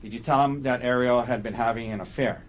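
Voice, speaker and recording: A man works through courtroom questioning as an attorney. He feels his way into leading questions and ends them with brief requests to confirm. The courtroom recording carries faint noise. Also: medium pitch. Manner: halting, leading questions with short confirming tags. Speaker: defense attorney questioning a witness.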